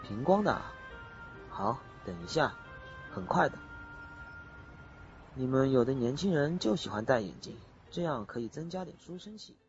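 Man as an obliging shop owner, talkative, animated intonation, audio drama, slightly lo-fi. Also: medium pitch, normal speed, pauses often. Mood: chatty, obliging. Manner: chatty, obliging. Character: chatty, obliging male shopkeeper selling glasses. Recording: muffled lo-fi audio drama, faint music.

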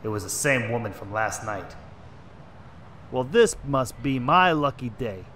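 A man acts out a hard-boiled 1940s private eye with a forceful, bright edge. He talks tough in slangy, threatening wisecracks and brooding radio-play narration, over faint background noise. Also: mid-range voice, lively intonation, unhurried. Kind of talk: noir radio drama acting and narration. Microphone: close desk microphone with headset.

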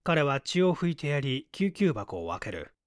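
A man's voice: on the high side, big pitch swings, normal speed, no echo, studio quality. A man narrating a literary mystery story as a dramatised audiobook reading. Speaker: audiobook reader, small-theatre stage actor.

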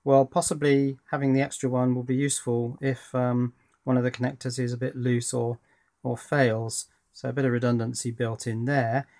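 A man's voice: mid-range voice, flat delivery, moderate pace, darkish tone. Man casually demonstrating at a workbench. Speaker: electronics hobbyist presenter. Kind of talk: casual hands-on demonstration narration. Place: indoors at a workbench.

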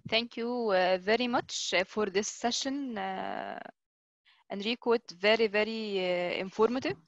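Woman hosting online q&a, bit halting, dry and dull lo-fi call sound. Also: mid-range voice, no room sound. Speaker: session moderator. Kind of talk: hosting an audience question session.

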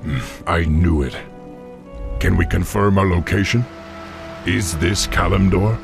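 An actor playing a young, noble orc war chief in a fantasy game, with a gravelly voice and a firm, formal manner. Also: male voice, low voice, steady tone, measured pace, over music. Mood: commanding. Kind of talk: fantasy video game acting.

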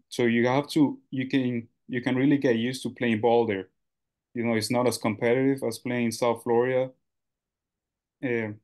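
Flat-voiced young man from Ecuador. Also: medium pitch. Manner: loose, rambling with many fillers and restarts. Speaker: guest, baseball coach. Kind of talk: casual interview answers.

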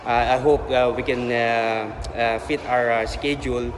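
A man in his thirties with a Filipino accent, chatting casually in a halting, jokey way as he vlogs on a phone in a gym. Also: medium pitch, fairly even intonation, slight background noise, rough recording. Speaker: vlogger, dance fitness instructor. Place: large indoor gym. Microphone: phone at arm's length. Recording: loud music over gym phone recording. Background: loud music.

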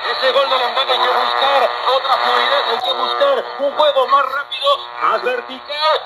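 A man's voice: very high voice, little pitch movement, normal speed, creaky, over loud music. A man giving football commentary on the radio, heard over shortwave. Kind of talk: rapid sports commentary. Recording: very noisy shortwave radio reception.

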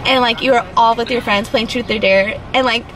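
A woman's voice: a little high, fairly even intonation, a little fast, fairly bright tone. A young American woman chatting casually in a slangy, giggly way, with some swearing, inside a car. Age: young adult. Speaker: vlogger. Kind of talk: casual chat. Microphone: camera in the car. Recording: noisy car interior with vehicle noise.

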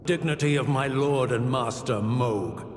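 A man's voice: medium pitch, measured pace, lightly acted. An actor playing a solemn, loyal male warrior in a video game, speaking grave and formal courtly lines. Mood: proud, defiant. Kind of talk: video game voice acting, formal archaic dialogue. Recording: echoey long reverb, faint noise.

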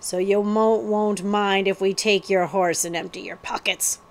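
Woman putting on a big, rough, booming highwayman. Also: steady tone, unhurried, close to an everyday voice. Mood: gleeful menace. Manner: rustic ungrammatical outlaw talk.

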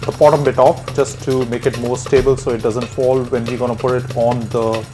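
A man's voice: medium pitch, level tone, brisk, over music. A man in his thirties, a chef, giving a cooking demonstration in an indoor kitchen.

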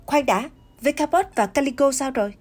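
A woman's voice: high-pitched, a little fast, darkish tone, lightly acted. A woman voicing a cool, reserved teenage girl in a cartoon dub, terse and restrained. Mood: serious. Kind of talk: Vietnamese cartoon dubbing.

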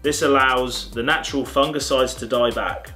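Bright upbeat British man teaching. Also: mid-range voice, fairly even intonation, moderate pace, soft background music. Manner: upbeat, clear instructional delivery. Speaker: presenter. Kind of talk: step-by-step tutorial. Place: outdoors in a garden and woods.

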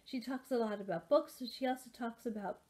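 A woman's voice: on the high side, brisk, a little mellow. Rambling woman vlogging at her desk. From United States. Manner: rambling, many fillers and restarts. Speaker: booktuber. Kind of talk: casual rambling book vlog. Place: home study with bookshelves, indoors. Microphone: webcam at desk.

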